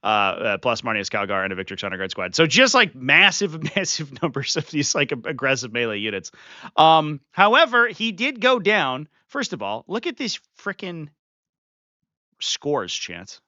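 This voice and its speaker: A man's voice: fairly high pitch, big pitch swings, moderate pace, sharp tone, dry recording. A man hosting a live strategy stream, talking casually and animatedly with chuckles and fillers, sniffly from a runny nose. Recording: slightly muffled, dry sound.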